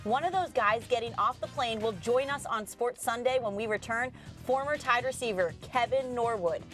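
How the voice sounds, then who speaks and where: A woman's voice: a little high, normal speed, fairly bright tone, soft background music. A woman co-hosting a sports show, presenting in a polished broadcast style.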